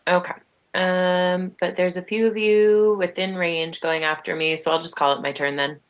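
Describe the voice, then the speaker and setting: A woman playing in an online tabletop game, asking eager, quick questions about her abilities. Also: on the low side, fairly even intonation, muffled recording. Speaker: player.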